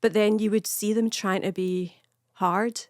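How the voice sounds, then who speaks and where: A woman's voice: medium pitch, steady tone, a little slow, no room sound, studio quality. Scottish woman with a Glasgow accent. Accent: Glasgow West End. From Scotland. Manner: chatty, laughing, storytelling. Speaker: comedian guest. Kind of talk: casual chat.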